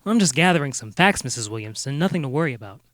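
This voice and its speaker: An actor playing an earnest, polite small-town amateur detective who talks in a halting, folksy way, in a comic radio-drama style. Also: male voice, mid-range voice, animated, a bit bright, no room sound, clean studio sound, close to an everyday voice. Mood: businesslike, halting.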